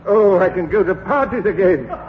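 A man's voice: high-pitched, a little fast, phone-quality sound, lightly acted. A man playing a blustering, cowardly old army major in a radio comedy, yelping and spluttering. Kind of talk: 1950s radio comedy acting. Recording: old radio broadcast, hiss, studio reverb.

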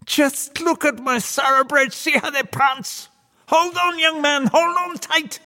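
A man's voice: extremely high pitch, steady tone, measured pace, sharp tone, voice pushed up, heavily acted. A man voicing a wily, gleeful little old devil, exclamatory and taunting, in theatrical verse storytelling with heavy hall reverb. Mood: wild glee.

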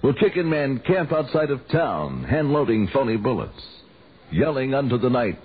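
A man's voice: mid-range voice, very animated, moderate pace, slightly rough. A man announcing in a portentous, mock-dramatic style in an old radio comedy. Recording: tinny old radio recording.

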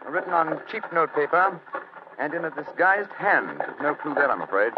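An actor playing a shrewd, assured Victorian gentleman detective with a British accent, speaking precisely in an old-time radio drama. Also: a little high, big pitch swings. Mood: confident, brisk. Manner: precise, deliberate, authoritative. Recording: muffled, noisy old radio recording.

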